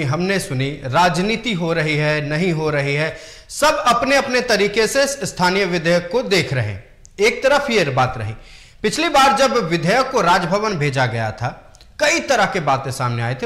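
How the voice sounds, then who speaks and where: A male news anchor with a high, very animated delivery. He poses emphatic, rhetorical questions to his correspondent, and the sound is noticeably echoey. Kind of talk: studio anchor questioning a reporter.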